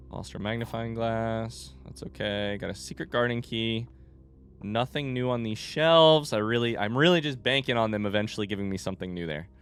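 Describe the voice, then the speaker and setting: Male streamer, low animated voice, slightly sick, rambling through a playthrough with light music behind. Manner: rambling, informal, mild swearing. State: voice affected by being sick. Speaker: video game streamer playing blind. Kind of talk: casual gameplay commentary, thinking aloud.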